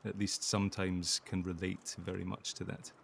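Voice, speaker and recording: Male panel guest, very low and creaky, earnest and halting in conversation, some background noise. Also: completely flat delivery. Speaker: interview guest, voice actor.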